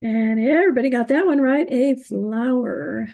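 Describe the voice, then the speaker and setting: A woman moderating an online trivia quiz, reading out questions and standings, who is losing her voice and keeps clearing her throat. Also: fairly high pitch, dark tone, dry recording. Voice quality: gravelly, husky. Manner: upbeat, reading standings, halting on invented words.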